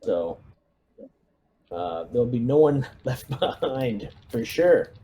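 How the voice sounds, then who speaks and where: A man's voice: mid-range voice, big pitch swings, slow pace, mellow. A man playing a tabletop roleplaying game, speaking casually and hesitantly in an understated way, with some background noise. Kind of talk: casual in-character game chat. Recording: background noise, room ambience.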